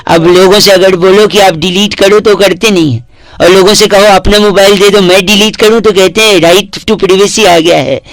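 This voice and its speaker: A man playing a cheeky prank caller, deadpan and insistent as he talks someone into absurd schemes. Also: high voice, normal speed, no echo, poor audio. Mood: scheming. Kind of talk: comic prank phone call.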